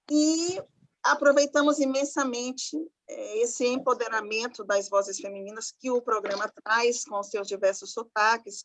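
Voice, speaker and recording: A woman's voice: a little high, poor audio. Brazilian woman with a Maranhão accent. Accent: Northeastern Brazilian (Maranhão). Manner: thoughtful, with frequent fillers and pauses. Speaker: professor presenting a radio project. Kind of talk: online conference talk. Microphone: video call.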